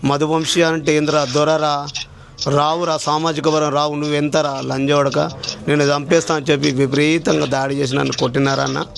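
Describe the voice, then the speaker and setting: A man with a Telangana Telugu accent recounts things earnestly and deferentially. His voice is fairly high and gravelly, with little melodic movement. Faint noise sits behind him. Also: level tone, normal speed. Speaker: journalist recounting an assault on him. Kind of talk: earnest spoken account to a listener on the phone.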